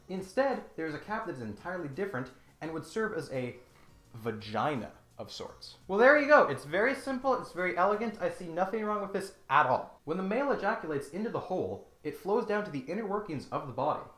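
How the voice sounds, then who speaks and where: A man's voice: high-pitched, very animated, fast, a bit bright, reverberant. A man hosting a scripted comedic critique, speaking in a mock-grandiose, sarcastic and emphatic way. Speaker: YouTube comedy host.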